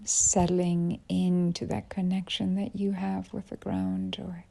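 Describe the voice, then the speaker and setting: A woman guiding a yoga nidra meditation as a teacher, with soft, breathy phrase endings and unhurried pauses. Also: lowish voice, big pitch swings. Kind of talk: guided meditation.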